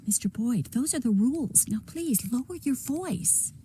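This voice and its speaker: A woman's voice: sits high, expressive, normal speed, warm tone, a little hiss or hum. A woman playing a discreet, deferential police secretary in a video game, speaking in a polite, careful, secretive way. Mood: hushed, firm. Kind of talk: naturalistic video game voice acting.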